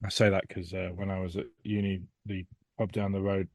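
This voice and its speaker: A man's voice: sits low, level tone, fairly quick, creaky, darkish tone, no room sound. A British man chatting casually as a podcast guest, sweary and comically appalled. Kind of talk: casual chat.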